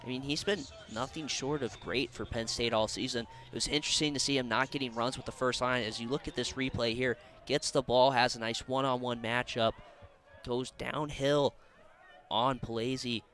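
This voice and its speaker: A man's voice: medium pitch, steady tone, moderate pace, a bit bright. A man giving live sports analysis as a color commentator.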